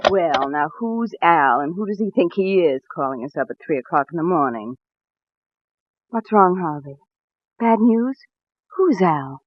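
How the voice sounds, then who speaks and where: A woman's voice: fairly low pitch, animated, unhurried, a little hiss or hum. An American woman acting an anxious, devoted young wife in a dramatic, pleading 1940s radio style. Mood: puzzled, indignant. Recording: muffled vintage 1940s radio recording.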